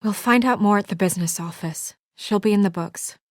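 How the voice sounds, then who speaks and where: A woman voice actor playing an earnest, composed young woman official in a video game, speaking in a measured, serious, restrained way. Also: medium pitch, dry recording, clean studio sound, lightly acted. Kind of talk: naturalistic video game dialogue.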